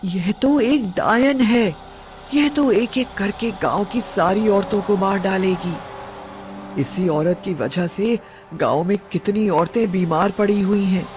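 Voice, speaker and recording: A woman voicing a grave, commanding male village headman in a horror storybook tale, speaking deliberately. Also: mid-range voice, noisy background, close to an everyday voice. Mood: grave warning. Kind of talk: horror storybook character reading. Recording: music bed behind the voice.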